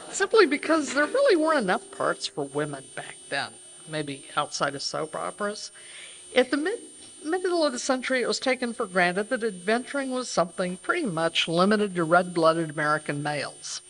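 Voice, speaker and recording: A woman's voice: on the low side, fairly bright tone, a little hiss or hum, poor audio. A woman co-presenting a live show, giving a semi-scripted introduction in a conversational, explanatory manner. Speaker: co-presenter of a radio re-creation troupe. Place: live stage at a banquet.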